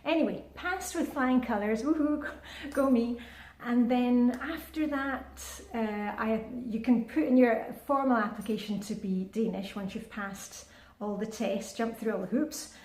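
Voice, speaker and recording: A woman's voice: fairly high pitch, measured pace, darkish tone, roomy sound. A woman in her fifties chatting casually to her vlog viewers, upbeat and full of fillers and restarts. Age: 50s. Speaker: vlogger. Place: indoors at home, with a short outdoor clip in the rain.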